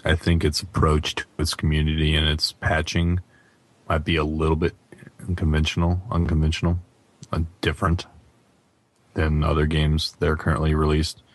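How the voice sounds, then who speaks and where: A man's voice: rumbling low, completely flat delivery, moderate pace, no room sound. A man hosting a casual gaming podcast discussion. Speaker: podcast host.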